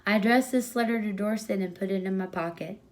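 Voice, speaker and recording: A woman's voice: medium pitch, quick pace, darkish tone. A woman reading a short story aloud as a storyteller, in scripted narration.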